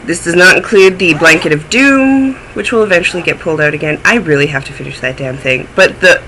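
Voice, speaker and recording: Male podcast host, a touch phlegmy, chatty and self-mocking, with a sharp, bright tone. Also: on the high side, brisk, a little hiss or hum, poor audio. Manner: chatty, rambling, self-deprecating. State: a little phlegmy. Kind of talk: casual chat.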